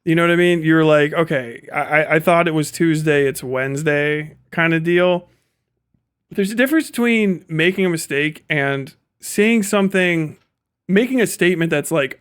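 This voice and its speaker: A man's voice: a little high, fairly bright tone, clear, resonant tone. A man hosting a livestream, reacting off the cuff in a casual, rambling, sweary way. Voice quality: frequent swearing, rambling way of talking. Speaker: livestream host reacting to videos. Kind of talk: casual unscripted commentary.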